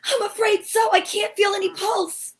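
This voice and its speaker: A woman's voice: extremely high pitch, fairly even intonation, moderate pace. A woman playing a breezy, sensible, take-charge female sleuth in an old-time radio mystery, with a wry manner. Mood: urgent, grave. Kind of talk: old-time radio mystery acting with first-person narration.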